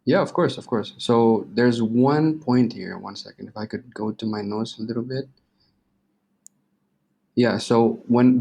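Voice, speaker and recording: Low-pitched young man, casual and slangy. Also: gravelly, darkish tone. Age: 20s. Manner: informal, slangy, swearing. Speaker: interview guest. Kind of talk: casual interview chat.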